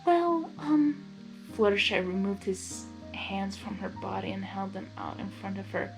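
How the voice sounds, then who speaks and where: A woman narrates a story in an informal reading style, dropping in excitable, gushing asides as a host. Her voice sits at an everyday middle pitch. Music plays behind her. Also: moderate pace, vocal fry, low-quality recording. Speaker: host reading fan fiction aloud.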